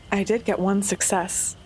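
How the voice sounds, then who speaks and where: A woman playing a tabletop game, casually describing her character's actions and thinking aloud haltingly. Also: mid-range voice, noisy background.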